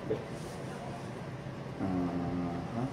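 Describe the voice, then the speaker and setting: A man in his thirties chatting casually and haltingly, as if muttering to himself, in a small room. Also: sits low, steady tone. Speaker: video host. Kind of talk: casual unscripted chat. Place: small indoor room with bare walls. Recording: clearly noisy background hum.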